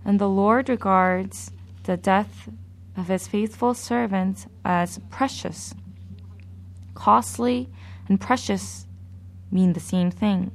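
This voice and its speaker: Slow, gravelly woman preaching a sermon. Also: mid-range voice, slight background noise. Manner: slow, measured and deliberate.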